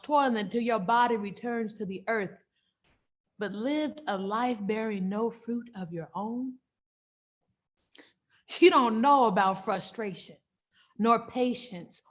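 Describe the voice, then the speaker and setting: A woman playing an anguished, fiercely loving enslaved mother in a dramatic stage monologue, speaking emphatically with heavy pauses. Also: medium pitch, darkish tone, close to an everyday voice. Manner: slow, emphatic, repetitive delivery with dramatic pauses.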